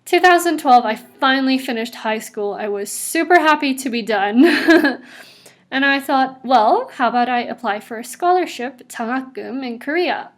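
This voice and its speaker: A woman's voice: sits high, animated, normal speed. A woman in her twenties with a mixed international accent, chatting casually about her own life while drawing. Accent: mixed international English. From South Africa. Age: 20s. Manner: chatty and upbeat, with frequent laughs and asides. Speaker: vlogger. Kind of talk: casual personal narration over drawings.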